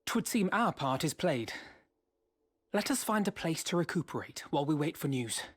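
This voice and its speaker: A man's voice: a little high, big pitch swings, normal speed, breathy edge. An actor playing an earnest, refined young male scholar in a fantasy video game, with formal, eloquent diction. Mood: composed. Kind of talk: scripted video game cutscene acting.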